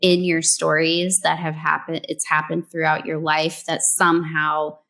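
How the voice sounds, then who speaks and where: Slow, low-voiced woman giving advice. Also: vocal fry. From New Mexico, USA. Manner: relaxed, conversational, many "like"s and "um"s. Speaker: guest, brand story strategist. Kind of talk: casual interview chat, giving advice.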